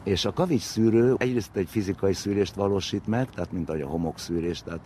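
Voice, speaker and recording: A man's voice: low voice, dark tone. A man speaking as an expert, explaining things informally with vivid comparisons. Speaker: expert interviewee. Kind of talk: spoken explanation, informal lecture-like. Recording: faint background noise and ambience.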